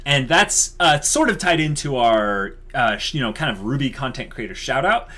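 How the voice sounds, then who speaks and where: A man's voice: medium pitch, lively intonation, normal speed, pushed and bright. A man co-hosting an online channel, talking casually and off the cuff in an enthusiastic, emphatic, informal way. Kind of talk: casual unscripted channel update.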